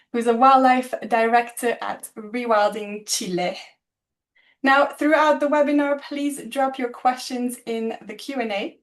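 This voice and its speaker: Woman hosting a webinar. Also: on the high side. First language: not German. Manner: friendly, a little halting, laughs at her own slips. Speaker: webinar host. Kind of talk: live webinar introduction. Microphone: video call.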